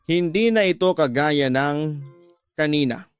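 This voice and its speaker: A man's voice: fairly high pitch, expressive, unhurried, clean tone. A man giving scripted narration as the storyteller of a radio drama. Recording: music bed under the voice.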